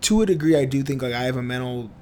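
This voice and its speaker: A man's voice: medium pitch, rapid-fire, darkish tone, slight background noise. A man co-hosting a casual podcast, a fitness trainer who talks loosely with lots of fillers and swearing. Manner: casual, profane, filler-heavy. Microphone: studio mic at the table.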